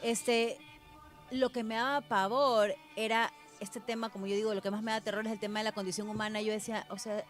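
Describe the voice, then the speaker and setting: A woman's voice: mid-range voice, flat delivery, brisk, soft background music. A woman co-hosting a casual conversation, explaining her personal opinions in informal speech. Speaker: podcast co-host.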